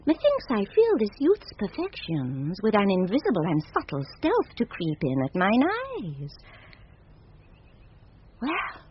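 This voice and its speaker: An actress playing a proud, impulsive young countess in love, in formal, theatrical Shakespearean radio style. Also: female voice, medium pitch, huge pitch swings. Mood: smitten, musing. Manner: theatrical, deliberate, formal verse delivery. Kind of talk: classic Shakespearean radio acting. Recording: muffled 1970s radio recording, noisy.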